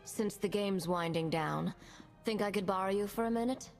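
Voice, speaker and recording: An actress playing a calm, dry ship's crew member in a video game cutscene. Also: female voice, medium pitch, moderate pace, long reverb tail, soft background music, close to an everyday voice.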